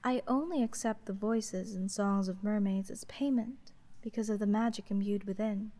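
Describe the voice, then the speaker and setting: A woman voicing an aloof, businesslike sea witch in a fantasy ASMR roleplay, soft and measured, with coolly formal, monotone delivery. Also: medium pitch, lightly acted. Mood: calm, businesslike. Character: aloof, businesslike female sea witch, dry-witted deal maker. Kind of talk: slow roleplay ASMR, scripted fantasy monologue.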